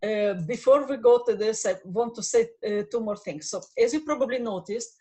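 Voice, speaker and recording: Halting woman hosting an online event. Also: a little high, dark tone. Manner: halting, many fillers and restarts. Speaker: event host. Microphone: video call.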